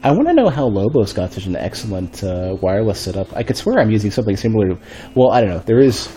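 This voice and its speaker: A man streams a game at his desk mic, talking half to himself in a low, bass-heavy voice. His tone is dark and relaxed, a touch stuffy, and he circles back and restarts sentences as he thinks. Game music plays softly underneath. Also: fast talker. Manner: rambling, thinking aloud with restarts. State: a bit stuffy. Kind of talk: casual gameplay commentary. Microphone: desk microphone.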